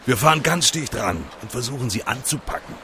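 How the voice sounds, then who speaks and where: A man's voice: medium pitch, lively intonation, a little fast, bright, forceful tone, slight background noise. A man playing a huge, provocative eco-activist in an audio drama, goading and sarcastic with emphatic delivery. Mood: smug, provocative.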